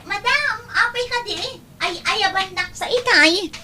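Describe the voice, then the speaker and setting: Woman as high-pitched hardworking young housemaid. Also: lively intonation, a little slow, background noise. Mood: meek, apologetic. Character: hardworking female housemaid, meek to employers, teasing to peers. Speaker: voice actress. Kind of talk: Ilocano comedy drama acting.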